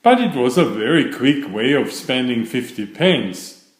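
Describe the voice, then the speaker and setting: A man's voice: mid-range voice, very animated, measured pace. A man voicing a polite, earnest young storybook bear in a courteous, matter-of-fact way. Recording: roomy, echoing sound.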